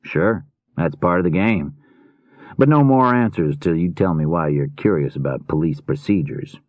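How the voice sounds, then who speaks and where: A man's voice: low-pitched, expressive, normal speed, no room sound, highs cut off. A man voicing a patient, easygoing middle-aged police detective who explains things matter-of-factly in an audiobook. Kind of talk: audiobook character dialogue.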